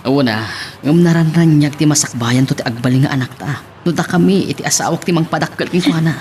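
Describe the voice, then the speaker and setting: A man with a Filipino accent playing a calm, earnest, reassuring lover in an amateur audio drama, with noise behind him. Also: fairly high pitch, fast, close to an everyday voice. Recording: steady background noise.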